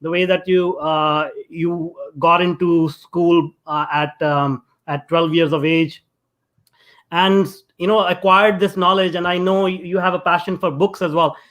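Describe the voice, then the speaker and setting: A man's voice: a little high, fairly even intonation, unhurried, a bit bright. A man hosting an interview show, supportive, with short affirmations and questions full of hesitations. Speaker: show host.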